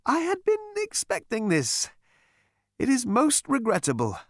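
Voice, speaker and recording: Guy putting on a grinning slick shrink voice, high and swoopy, dry recording. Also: huge pitch swings, measured pace, no echo, full character voice. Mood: smarmy. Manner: high, beaming, sing-song delivery. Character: smarmy cheerful male psychiatrist, grinning and sly. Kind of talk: audiobook character reading.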